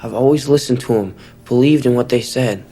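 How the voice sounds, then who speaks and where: A man's voice: mid-range voice, a little fast, warm tone, faint music behind, close to an everyday voice. A man playing an earnest, determined young man in a dramatised audiobook. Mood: earnest resolve.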